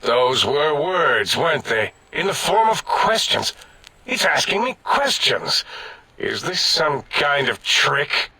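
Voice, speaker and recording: A man voicing an irritable, bombastic mad-scientist brain in a machine for a video game, over-enunciating with indignation and a harsh rasp. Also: on the high side, big pitch swings, takes it slowly, pushed and bright, no echo, exaggerated voice. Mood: slow, suspicious. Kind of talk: theatrical comic video game acting.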